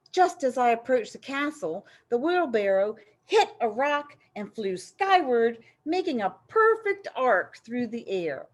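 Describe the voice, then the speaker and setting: A woman reading a children's story aloud in an animated, dramatic way, with emphasis on key phrases. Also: high voice. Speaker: storybook reader.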